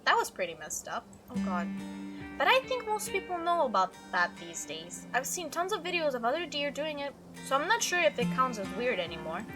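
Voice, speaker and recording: A woman performs an excitable young female monster hunter from a visual novel. Her voice is high and very lively in pitch. She gushes and chatters through her tales, with music playing behind her. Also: brisk. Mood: enthusiastic recollection. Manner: animated, gushing storytelling. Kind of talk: visual novel character read-aloud.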